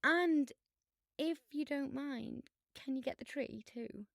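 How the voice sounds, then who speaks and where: A woman playing a bossy, sardonic, excitable schoolgirl in an audio drama, with a theatrical, sarcastic manner. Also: high-pitched, slow pace, vocal fry, no room sound, clean studio sound, full character voice. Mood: sweetly scheming.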